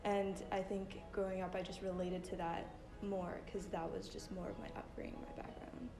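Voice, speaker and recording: A woman's voice: mid-range voice, level tone, normal speed. A young American woman, speaking candidly and reflectively about her heritage. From United States. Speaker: student panelist. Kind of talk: casual personal testimony. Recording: roomy room with background music.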